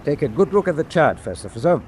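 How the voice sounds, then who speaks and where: Grave, higher-pitched German sea captain. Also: male voice, big pitch swings, a little mellow, a little hiss or hum. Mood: grave, measured. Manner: measured, formal briefing. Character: grave, calculating German tanker captain, commanding. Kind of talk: wartime naval radio drama.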